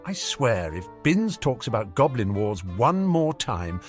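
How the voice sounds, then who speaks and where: A man voicing a cocky, mocking teenage boy wizard who talks quickly and showily. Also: mid-range voice, sing-song, soft background music. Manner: sneering, quick, showy.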